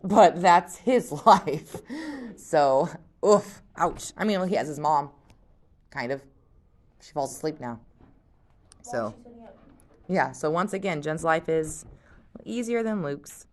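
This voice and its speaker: A woman's voice: deep voice, lively intonation, slow pace, long pauses, darkish tone. A woman teacher reading a novel aloud and chatting about it with her class in a conversational, thinking-aloud way.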